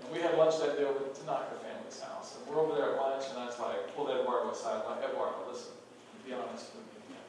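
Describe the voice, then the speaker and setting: Animated pastor in an echoey sanctuary. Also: male voice, mid-range voice, fast. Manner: animated, humorous, acts out quoted voices. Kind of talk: storytelling sermon. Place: large church sanctuary. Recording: very echoey hall, heard from far off, noisy.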